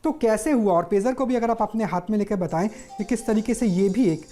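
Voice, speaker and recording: A woman's voice: medium pitch, fairly quick, warm tone, faint music behind. A woman interviewing on the news, explaining and asking questions off the cuff in a wordy, repetitive way. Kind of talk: unscripted on-air questioning and explaining.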